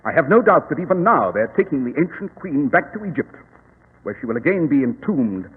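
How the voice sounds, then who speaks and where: An actor in a 1940s radio drama playing a shrewd, self-assured English detective, brisk, commanding and precise. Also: male voice, on the high side, big pitch swings, breathy edge. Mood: grave, assured. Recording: tinny, noisy old radio recording, reverberant.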